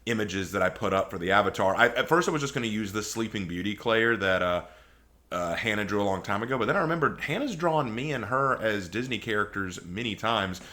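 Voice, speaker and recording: A man's voice: lowish voice, lively intonation, a little fast, sharp tone. A man hosting a reaction video with casual, rambling and opinionated commentary.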